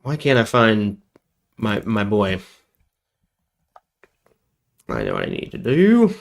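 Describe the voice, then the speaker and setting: A man with a fairly low voice hosts a casual livestream while sick with a cold. He rambles loosely, cracks jokes and pokes fun at himself. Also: wildly swooping pitch, slow, gravelly. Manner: rambling, joking, self-deprecating. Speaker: livestream host.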